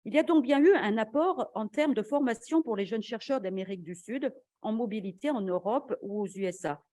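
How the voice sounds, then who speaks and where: A woman academic giving a formal conference lecture in a measured, argumentative way, as an invited speaker. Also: fairly high pitch, expressive, dark tone, dry recording.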